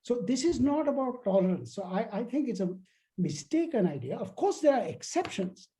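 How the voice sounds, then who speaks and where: A man's voice: extremely high pitch, sing-song, moderate pace, dark tone. An older man speaking as a guest lecturer, answering a question in a discursive, emphatic academic manner and illustrating points with anecdotes.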